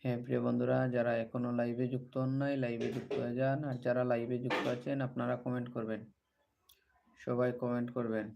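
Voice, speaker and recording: A man's voice: mid-range voice, completely flat delivery, quick pace, creaky, a little mellow, slight background noise. A man hosting a casual livestream, greeting viewers and repeatedly appealing to them to take part. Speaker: livestream host. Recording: dull lo-fi livestream audio.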